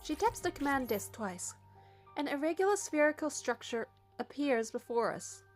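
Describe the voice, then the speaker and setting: A high-voiced woman narrates a game story in the first person. She reads from a script, with light music behind her. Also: very animated, moderate pace.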